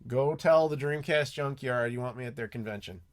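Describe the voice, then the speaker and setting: A man's voice: mid-range voice, a little fast. An American man hosting a live Q&A stream, chatting casually with lots of ums and restarts. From United States. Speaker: YouTube host answering live chat. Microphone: desk mic with clacking mechanical keyboard.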